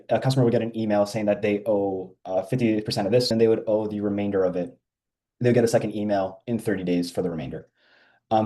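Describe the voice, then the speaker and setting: Male demo presenter, low and very mellow, informal explanatory walkthrough delivery. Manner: explanatory, step by step. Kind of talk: informal walkthrough of a software screen.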